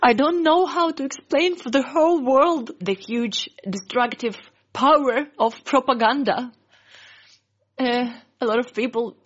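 A woman, a Russian speaker, telling her story in earnest, halting English in an interview. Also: a little high, dull audio. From Ukraine. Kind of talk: emotional interview.

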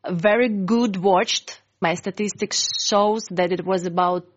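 A woman, a Russian speaker, telling her story in earnest, halting English in an interview. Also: medium pitch, steady tone, muffled recording. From Ukraine. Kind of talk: emotional interview.

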